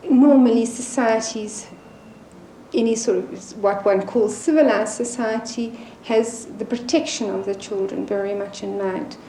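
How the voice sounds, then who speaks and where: A woman gives earnest, articulate interview commentary in a mellow, mid-pitched voice. The recording is roomy, with faint ambient noise behind her. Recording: roomy, noisy location interview.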